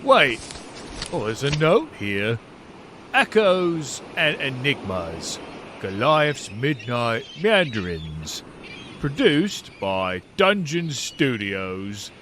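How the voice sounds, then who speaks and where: A man playing an eager, easily exasperated treasure hunter in a comic audio drama skit, animated and exaggerated. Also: mid-range voice, extremely slow, a little hiss or hum, heavily acted. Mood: puzzled.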